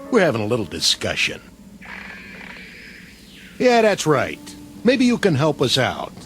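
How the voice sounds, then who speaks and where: An actor playing a tough, weary army sergeant who talks in a gruff, sarcastic way. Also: male voice, a little high, wildly swooping pitch, unhurried, a bit bright, exaggerated voice. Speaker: actor in a war drama. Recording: old TV soundtrack with music.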